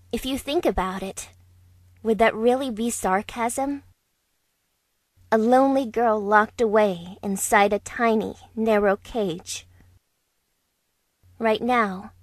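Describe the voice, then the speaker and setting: A woman's voice: fairly high pitch, slow, pauses often, no echo, clean studio sound. A young woman voicing a wry, reflective girl travelling alone, narrating in first person for an amateur fandub. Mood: musing. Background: background music.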